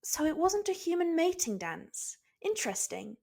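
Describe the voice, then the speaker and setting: Small, studious male creature, done by a woman: high pitch, earnest, musing, matter-of-fact. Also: sing-song, normal speed, creaky, a little mellow, exaggerated voice. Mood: thoughtful.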